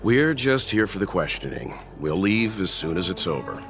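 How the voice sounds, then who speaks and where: An actor playing a calm, courteous, reserved mature gentleman traveler in a video game, speaking politely and in a measured way. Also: low voice, creaky, heavily acted. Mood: polite, composed. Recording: game music behind the voice. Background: background music.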